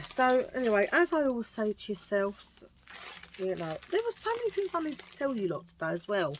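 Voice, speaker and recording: A middle-aged woman with a husky low voice, chatting in a rambling, self-mocking way while showing a thrift haul. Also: a little hiss or hum. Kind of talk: casual chatty haul video.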